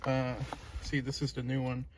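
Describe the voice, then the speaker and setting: A man's voice: mid-range voice, monotone, normal speed, noisy background. A man in his late thirties narrating a hands-on garage project in an informal, laid-back, self-deprecating way, like a casual vlog. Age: late 30s. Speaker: car restoration vlogger.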